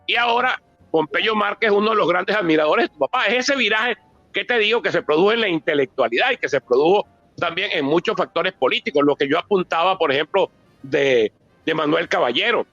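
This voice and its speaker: A man with a Venezuelan accent and a high-pitched, bright, forceful voice speaks off the cuff as if recounting history to listeners. He is emphatic and anecdotal, and the sound is dry. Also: dry recording, lo-fi sound. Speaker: author and politician speaking at a book presentation. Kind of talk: extemporaneous historical talk.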